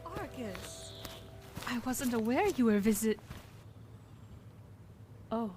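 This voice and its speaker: A woman's voice: fairly high pitch, expressive, slow. A woman playing a wary, judgmental goddess in an audio drama. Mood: surprised, wary.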